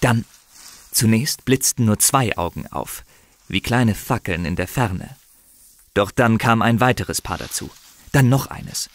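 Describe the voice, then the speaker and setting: Low-voiced male dramatic storyteller. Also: animated. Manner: suspenseful, measured phrasing with dramatic pauses. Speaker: narrator. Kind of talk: dramatic scripted story narration. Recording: slight background noise.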